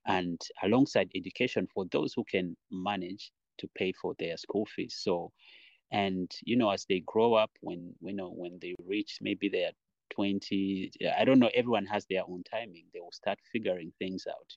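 A young man from Uganda talks through his thoughts in a podcast interview, with a very low voice. He rambles reflectively and fills pauses with "you know". The sound is dry and a bit dull. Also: creaky, a little mellow, no room sound. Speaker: podcast guest, dancer and choreographer. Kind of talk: casual interview talk.

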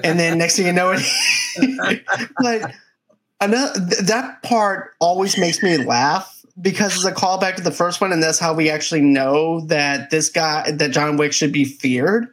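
A man's voice: fairly high pitch, unhurried. A man co-hosting a movie review podcast, chatting casually and eagerly retelling scenes from the film. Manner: animated scene-by-scene retelling, quotes lines from the film.

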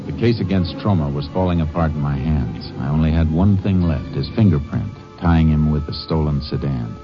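An actor playing a firm Texas Ranger who also narrates, in a 1940s radio drama, on a dull old recording. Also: male voice, rumbling low, normal speed, over music. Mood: narrating. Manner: firm, clipped. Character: stern Texas Ranger lawman, man. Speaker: narrator and lead character.

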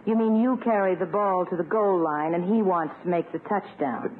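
A woman playing a poised, gently teasing lady courted by rivals in a 1950s radio sitcom, coy and softly probing. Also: fairly low pitch, moderate pace, narrow, tinny audio, lightly acted. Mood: amused, probing. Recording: thin, noisy 1950s radio recording.

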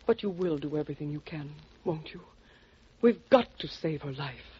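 An actress playing an anxious, genteel widow, earnest and dramatic, in a 1940s radio detective drama. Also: female voice, deep voice, animated, normal speed, loud music behind the voice. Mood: worried, pleading. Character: anxious, genteel widowed female client pleading for help. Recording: muffled old radio broadcast recording.

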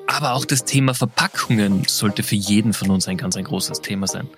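A man's voice: fairly low pitch, a little fast, music in the background. A man hosting a podcast, introducing the episode and asking his guest questions with enthusiasm. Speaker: podcast host.

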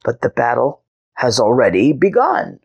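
A woman voicing a patient male cyclops who explains things calmly. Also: rumbling low, normal speed, creaky, husky, highs cut off, voice pushed down, exaggerated voice. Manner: calm, explaining. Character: patient, calm male one-eyed giant.

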